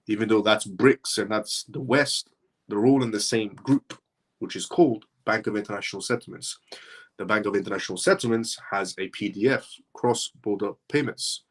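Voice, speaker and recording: Husky man musing in a livestream. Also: on the low side, normal speed. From Iran. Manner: rambling, repeats his points. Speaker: live show host. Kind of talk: casual livestream commentary.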